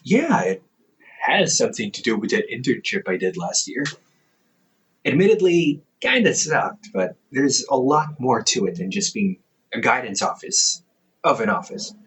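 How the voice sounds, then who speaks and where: A man's voice: medium pitch, sing-song, normal speed, fairly bright tone, distant mic, poor audio. A man voicing a caring, goofy older brother in an audio roleplay, playful and chatty, laughing often.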